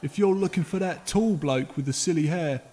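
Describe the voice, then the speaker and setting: A man's voice: on the high side, moderate pace, warm tone, pitched above the performer's own voice, lightly acted. A man playing a stocky little bartender in an audiobook, talking in a blunt, offhand, chatty way. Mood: casual, informative. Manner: offhand, colloquial. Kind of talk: audiobook character voice.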